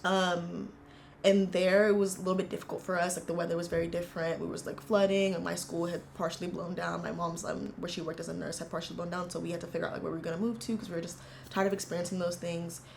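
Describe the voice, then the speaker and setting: A woman in her twenties from the United States, telling her family story in an earnest, reflective interview, pausing often as she goes. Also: fairly low pitch, little pitch movement, gravelly, a little hiss or hum. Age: 20s. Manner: earnest, reflective, halting with frequent fillers. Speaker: interviewee, university student. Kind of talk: casual personal storytelling.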